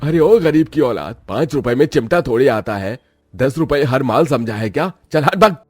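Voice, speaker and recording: A man's voice: mid-range voice, lively intonation, normal speed, husky, no echo, clean studio sound, pitched below the performer's own voice. A man voicing a rude, mean street utensil seller with a contemptuous manner. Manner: loud, contemptuous.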